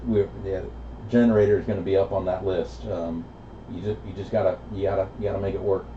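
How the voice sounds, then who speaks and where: A middle-aged American man chatting casually over CB radio in a laid-back, halting way. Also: low-pitched, mellow. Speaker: amateur radio operator. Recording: echoey, muffled, noisy CB radio reception.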